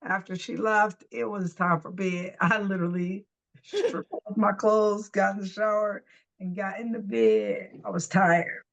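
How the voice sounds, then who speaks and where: A woman's voice: mid-range voice, steady tone, a little slow, vocal fry, muffled recording. A woman in her fifties, a volleyball coach, talking casually and unhurriedly, with many fillers. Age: 50s. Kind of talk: casual chat.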